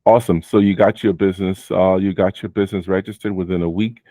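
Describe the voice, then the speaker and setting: A man hosting a casual interview with one of his students, relaxed and full of fillers, often repeating the guest's answers back. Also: deep voice, fast, dry recording, faint music behind. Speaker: host and Amazon seller mentor.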